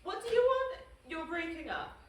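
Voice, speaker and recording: A woman playing a sardonic, unimpressed, haughty official in an animated comedy table read. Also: very high voice, moderate pace. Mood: demanding. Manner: disdainful, theatrical. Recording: echoey room, distant microphone, faint noise.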